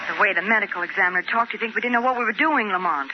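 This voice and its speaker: A woman's voice: medium pitch, very fast, loud music behind the voice, lightly acted. An actress playing an insistent, inquisitive young woman sleuth in an emphatic 1940s radio drama style. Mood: puzzled, indignant. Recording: muffled, noisy 1940 radio broadcast.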